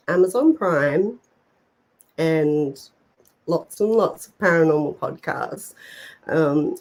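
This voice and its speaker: A woman speaks in a somewhat low, stuffed-up voice, clearly nursing a cold. She hosts a live stream at a slow, easygoing pace, rambling warmly with plenty of "um"s and little laughs, as if on a video call. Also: poor audio. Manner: chatty, rambling, many fillers and laughs. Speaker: host. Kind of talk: casual live-stream chat.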